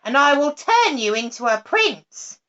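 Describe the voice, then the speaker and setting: A shrill, greedy old witch from a storybook, barking orders and stretching her words out slowly, as a woman puts her on. Her voice sits high and bright. The recording is dull and lo-fi. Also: sing-song, exaggerated voice. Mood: commanding. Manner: shrill and commanding, with drawn-out words. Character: wicked cackling old female witch, greedy and scornful. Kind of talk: storybook reading.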